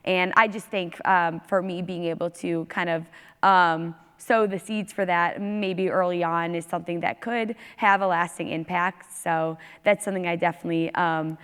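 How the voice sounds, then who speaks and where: A woman speaking on a discussion panel about education, earnest, with a naturally loud voice and many fillers. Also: on the low side, clear, resonant tone. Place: large hall stage. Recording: long reverb from a large hall.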